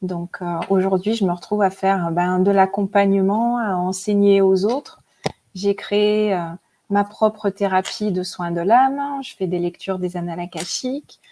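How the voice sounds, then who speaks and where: A woman's voice: mid-range voice, steady tone, moderate pace, warm tone, slight background noise. A woman in her forties, a guest telling her story in a chatty, hesitant way with many fillers and frequent laughs. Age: 40s. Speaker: guest, spiritual author and teacher. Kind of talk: casual interview storytelling.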